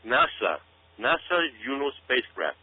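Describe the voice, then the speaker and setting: Male radio guest commentator, medium pitch, insistent and dramatic with rhetorical questions, band-limited sound. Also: very animated, unhurried, phone-quality sound. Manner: emphatic, repetitive, with rhetorical questions. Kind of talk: dramatic speculative commentary to listeners.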